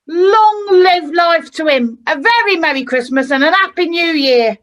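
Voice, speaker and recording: A woman's voice: very high voice, lively intonation, measured pace, fairly bright tone, heavily acted. A woman playing a tart, outspoken wife and mother in an amateur radio play, forthright and emphatic. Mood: grudging. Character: indignant, outspoken wife and mother, Mrs Cratchit.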